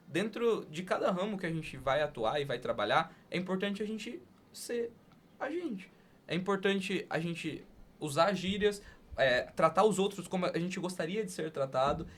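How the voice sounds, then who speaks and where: A man with a Brazilian accent hosting a podcast, chatting casually with slang and asking questions. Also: high voice. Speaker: podcast host. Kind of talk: casual interview chat with slang.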